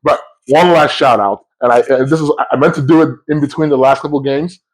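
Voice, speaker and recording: A man co-hosting a football podcast, talking in an animated, casual way and often cutting across his co-host. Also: on the high side, brisk, low-quality recording.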